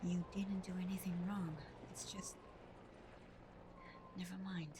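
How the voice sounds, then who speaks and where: A woman playing a caring, hurt vampire girlfriend in an ASMR roleplay, speaking softly and tenderly, often half whispering, with hesitant pauses. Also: on the low side, little pitch movement, background noise, close to an everyday voice. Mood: guarded affection. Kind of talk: ASMR roleplay, intimate scripted acting.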